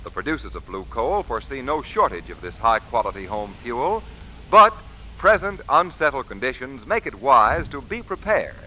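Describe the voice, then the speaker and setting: A man's voice: medium pitch, very animated, normal speed, pushed and bright, rough recording. An American man announcing in an emphatic, formal, scripted 1940s radio style. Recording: old radio recording, faint noise.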